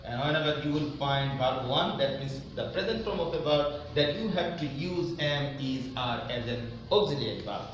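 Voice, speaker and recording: A man's voice: on the high side, moderate pace, few pauses, lo-fi sound. A man teaching an English grammar lesson in a didactic, emphatic way, repeating key phrases for his students. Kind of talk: online classroom grammar lesson. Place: echoey room with a whiteboard. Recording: very echoey room, faint noise.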